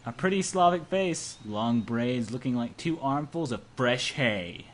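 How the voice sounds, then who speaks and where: Young man, medium pitch, hammy amateur narrator, playful dramatic reading, noisy home recording. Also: expressive, a little slow. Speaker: amateur narrator reading a visual novel in a let's play. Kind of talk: dramatic reading mixed with joking chat. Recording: amateur home recording with clear background noise.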